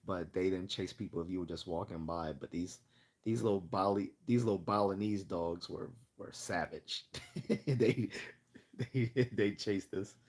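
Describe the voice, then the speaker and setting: Guy with a really low voice doing a chatty live q&a, kinda hoarse, clearing his throat a lot. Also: unhurried, a little mellow. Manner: rambling, chatty, laughs often. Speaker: livestream host. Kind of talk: casual livestream Q&A.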